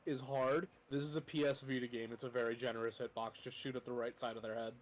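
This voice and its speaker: A man's voice: mid-range voice, level tone, quick pace. A man commentating casually on a game stream, teasing and explaining as he goes. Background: clear game music.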